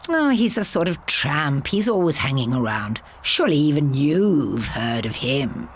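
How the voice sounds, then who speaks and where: A woman's voice: low-pitched, very animated, unhurried, background noise. A woman voicing a cocky, exasperated know-all boy in an audiobook story, offhand and emphatic. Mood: scornful. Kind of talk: audiobook character dialogue.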